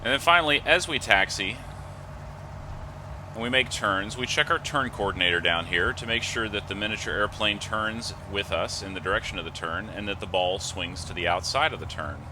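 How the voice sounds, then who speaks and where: A man's voice: on the low side, moderate pace, noisy background. A man giving methodical, instructional step-by-step flight simulator commentary, reading off checklist items. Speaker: host of a flight simulator video series.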